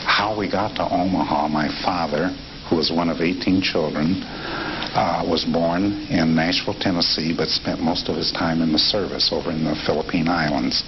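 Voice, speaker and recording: A man's voice: low-pitched, normal speed. A man in his fifties from Nebraska, recalling his childhood in a reflective interview, with frequent fillers and restarts. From Nebraska, USA. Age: 50s. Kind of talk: relaxed oral history interview. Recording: dull lo-fi tape with steady hiss.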